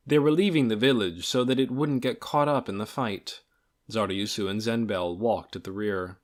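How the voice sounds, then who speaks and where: A man reading a fantasy audiobook in a dramatic, measured storytelling manner, with deliberate pauses between phrases. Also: on the low side. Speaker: audiobook reader. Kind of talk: scripted fantasy novel narration.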